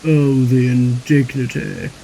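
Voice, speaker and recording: A narrator voicing a pompous big male express engine in a children's story, booming and mocking. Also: big pitch swings, moderate pace, a little mellow, slight background noise, natural voice, rough recording. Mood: indignant.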